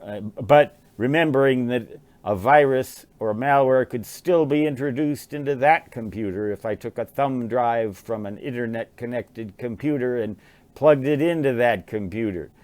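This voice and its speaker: An American man in his sixties, musing aloud in a halting, rambling monologue and often repeating words. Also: mid-range voice, a little mellow, dry recording. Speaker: vlogger, writer and ham radio hobbyist.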